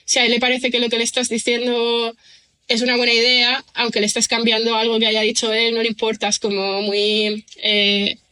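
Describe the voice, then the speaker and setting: Woman from chatting on a podcast, bright voice, kinda monotone, lots of um and o sea. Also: fairly high pitch, normal speed. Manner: thoughtful, rambling with many fillers and restarts. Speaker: writer and screenwriter guest. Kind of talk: casual podcast interview.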